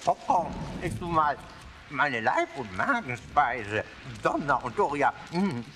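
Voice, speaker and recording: Casting: playful little castle ghost for kids' audio drama, hugely animated pitch, exclamatory, over noisy effects. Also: male voice, takes it slowly, full character voice. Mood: delighted. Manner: exclaiming, playful, swooping delivery. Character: cheeky, jolly little castle ghost, loves popcorn. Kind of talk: children's audio drama acting.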